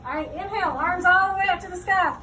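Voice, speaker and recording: High-voiced woman teaching yoga, distant and noisy. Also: quick pace, sharp tone. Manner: instructing step by step with frequent check-in questions. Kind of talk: leading a children's yoga class with short spoken cues. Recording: distant mic, clearly noisy room.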